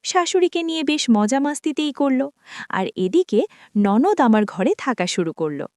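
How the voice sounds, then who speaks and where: Woman narrator, confiding storyteller who speaks to listeners as friends; animated, higher-pitched, dry recording. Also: moderate pace, no echo. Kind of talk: first-person story narration.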